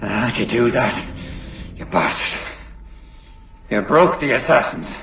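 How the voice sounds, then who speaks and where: Game role: wounded, betrayed assassin, bitter; unhurried speech, roomy echo, ambient noise. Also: male voice, mid-range voice, expressive, fairly bright tone. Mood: bitter accusing. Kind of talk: dramatic video game cutscene acting. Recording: echoey, with background ambience noise.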